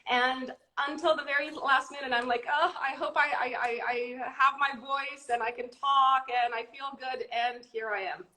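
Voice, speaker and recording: A woman's voice: high-pitched, steady tone, normal speed, few pauses, pushed and bright. A woman guest astrologer in casual chat, a little congested from a cold, eager and repeating her words. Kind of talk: casual live-stream chat. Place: at home in the UK.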